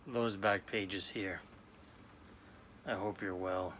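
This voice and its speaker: A man in his late forties from New York, talking slowly and haltingly in a sad, subdued way as a sports card vlogger. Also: low-pitched, fairly even intonation, fairly bright tone, slight background noise. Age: 40s. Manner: halting, subdued, many restarts. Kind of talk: casual personal talk to camera.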